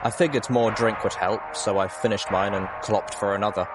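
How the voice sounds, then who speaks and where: A man reading a story aloud as a scripted, expressive narration. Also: fairly low pitch, normal speed, over loud music. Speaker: audiobook reader.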